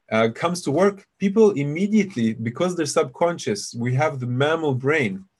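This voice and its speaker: A man with a deep voice hosting a live webinar, chatting and explaining to his online audience in a casual, teacherly way. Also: darkish tone, husky. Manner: chatty, explanatory, addresses audience as "you guys". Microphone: computer mic on a video call.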